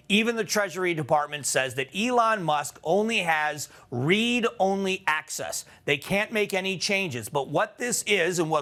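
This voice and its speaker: A man's voice: on the high side, bright, forceful tone. A man hosting a local TV news show, reading a scripted news roundup and interviewing a guest in a studio. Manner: brisk, clear presenter delivery.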